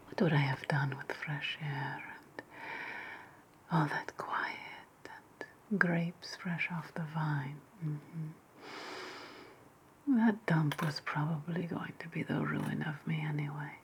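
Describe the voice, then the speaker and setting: A woman playing a wry, spiteful ancient Roman witch in a whispered ASMR roleplay, breathy and sweetly sarcastic. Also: low voice, a little hiss or hum. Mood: wry, bitter. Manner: slow, deliberate, sweetly sarcastic.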